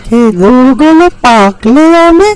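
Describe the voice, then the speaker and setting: A performer voicing a small, hopeful, hardworking bird in a fairy-tale cartoon, with drawn-out storytelling delivery. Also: high voice, lively intonation. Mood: happy, hopeful. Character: Tuni, small hopeful hardworking bird guarding her date palms.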